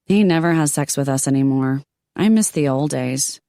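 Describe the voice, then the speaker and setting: A woman's voice: extremely low pitch, moderate pace, dark tone, dry recording, studio quality. A woman voicing a wistful, chatty woman.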